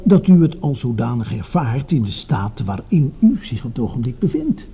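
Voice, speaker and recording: An actor playing a smooth-talking, patronising and quietly sinister male doctor in a Dutch radio drama, placating and measured, with a breathy voice. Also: medium pitch, sing-song, heavily acted. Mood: soothing, patronising.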